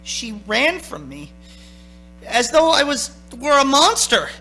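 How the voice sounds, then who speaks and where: A man's voice: extremely high pitch, big pitch swings, slow pace, bright, forceful tone, exaggerated voice, rough recording. An actor playing a haunted, anxious young male driver in a radio play, speaking in a stammering, urgent, theatrical way. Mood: haunted, brooding. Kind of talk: theatrical radio play acting with first-person narration. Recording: stage radio play with music.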